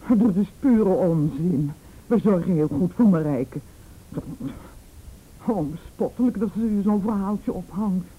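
An actress playing a defensive, easily flustered mother in a radio drama, with an agitated, halting delivery. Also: animated, slightly rough, narrow, tinny audio. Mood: indignant. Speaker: actor in a radio play. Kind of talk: Dutch radio drama acting. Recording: rumbly, noisy old radio recording.

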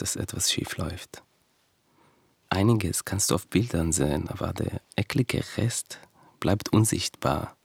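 Man, low gravelly voice, very slow reflective monologue, noisy recording. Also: dry recording.

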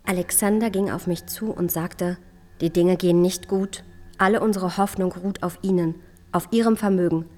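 A woman's voice: lowish voice, fairly even intonation, moderate pace, darkish tone, close to an everyday voice. A woman playing a dignified, determined queen who recounts events earnestly and formally in a historical radio drama. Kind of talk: dramatized reading of historical memoirs.

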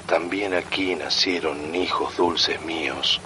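A man's voice: sits low, takes it slowly, background noise. A man reciting poetry.

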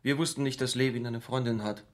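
An actor playing a rigid, indignant middle-aged father and judge in a radio drama, stiff and halting in his speech. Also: medium pitch, steady tone, natural voice. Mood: indignant, strained. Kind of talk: naturalistic radio drama dialogue.

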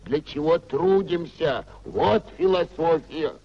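An old government clerk, self-pitying and piously pompous, in a 1950s radio drama. The voice is high and very unhurried, with unctuous, sententious phrasing. The recording is dull and dated. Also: male voice, darkish tone. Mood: moralizing. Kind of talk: 1950s Soviet radio theatre acting.

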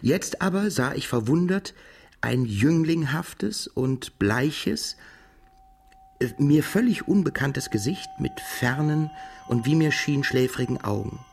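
An actor playing a brooding, haunted émigré narrator in a literary radio drama, speaking in a halting, self-correcting way. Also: male voice, fairly high pitch, lively intonation, vocal fry, a little mellow, slightly rough, heavily acted. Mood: halting, grave. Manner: halting, self-correcting while translating aloud from English. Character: brooding Russian émigré man, narrator haunted by a past shooting.

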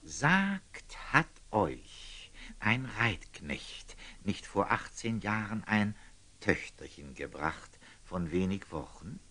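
An actor playing a humble, kindly old lay brother in a radio play, husky and gentle, speaking classical verse haltingly. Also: male voice, lowish voice, big pitch swings, exaggerated voice. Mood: cautious, earnest.

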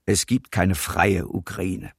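A man's voice: deep voice, steady tone, slow, slightly rough, no room sound, studio quality, full character voice. An actor playing a cold, domineering male Nazi occupation official in a German radio docudrama. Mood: cold, commanding.

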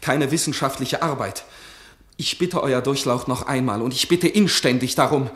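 A man's voice: mid-range voice, moderate pace, fairly bright tone, lightly acted. An actor playing a young army doctor and poet who pleads with his ruler in a radio play, deferential and earnest. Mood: pleading. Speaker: regimental medic and playwright. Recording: roomy radio drama studio.

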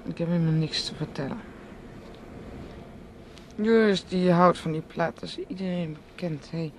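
A woman's voice: fairly low pitch, normal speed, a little hiss or hum, close to an everyday voice. An actress playing a dreamy, teasing woman who rambles in musing repetitions in a Dutch radio play. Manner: musing, repetitive, breaks into soft laughs.

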